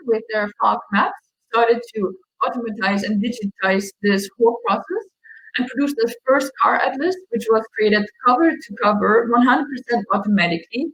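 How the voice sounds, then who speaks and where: Young Austrian woman, accented English, online tech talk; slightly high, friendly, explains with small fillers. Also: a bit bright, rough recording. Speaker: customer success manager. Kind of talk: online meetup presentation.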